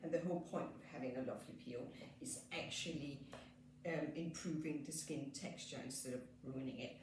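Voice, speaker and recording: A woman with a Danish accent, a skin therapist gently explaining a treatment as she works, with frequent fillers. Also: rumbling low, fairly quick, far from the mic, noisy background. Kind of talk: treatment demonstration. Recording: echoey room, off mic.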